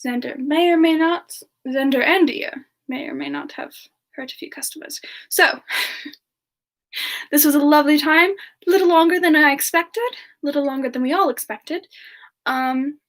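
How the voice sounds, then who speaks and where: A woman hosting a semi-ASMR question session, chatting casually in a soft-spoken, gentle and affectionate manner. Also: sits high, normal speed. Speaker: ASMR channel performer hosting a live Q&A in character.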